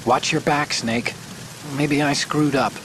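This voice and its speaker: A hesitant, anxious hacker. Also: male voice, on the high side, slow pace. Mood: worried. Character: nervous male hacker ally on radio. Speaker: tech support on radio. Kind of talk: video game cutscene dialogue. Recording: game audio over steady rain noise. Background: rain.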